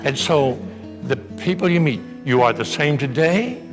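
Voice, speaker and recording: A man's voice: on the high side, animated, a little slow, over music. A man giving a motivational speech with punchy repetition and humor. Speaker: motivational speaker.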